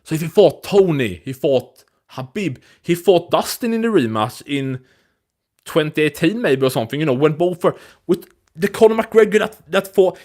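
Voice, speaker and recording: A man in his twenties hosting a casual live stream, talking to viewers in a rambling way with many restarts and fillers, into a phone. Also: fairly high pitch. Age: 20s. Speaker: livestream host.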